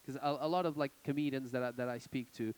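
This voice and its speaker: A man interviewing a comedy director at a festival, asking questions in a halting way and repeating words. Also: mid-range voice, fairly even intonation, darkish tone, clear, resonant tone. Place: on stage at a festival event.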